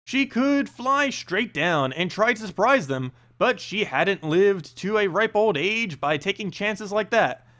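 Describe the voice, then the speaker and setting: A man's voice: sits high, expressive, a little slow, hardly any pauses, pushed and bright. A man reading a fantasy story aloud as a narrator, with dramatic delivery and occasional hesitant stumbles. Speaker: reader of fantasy stories, channel host.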